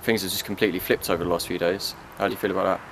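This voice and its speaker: A man puts informal interview questions at a very rapid pace. His voice is a little low, with faint background noise behind it. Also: steady tone, fairly bright tone, poor audio.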